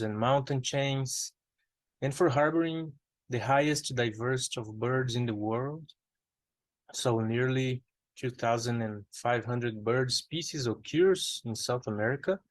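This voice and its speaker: Slow, careful Brazilian man presenting. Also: mid-range voice, no room sound. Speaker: ornithologist presenter. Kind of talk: prepared conference talk.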